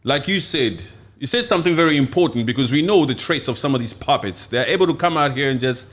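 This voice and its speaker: A man from Congo whose first language is Swahili, talking politics in an animated, joking way. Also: medium pitch, fast talker. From Democratic Republic of Congo. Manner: animated, joking, bursts of loud exclamations. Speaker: show host. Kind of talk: casual political chat.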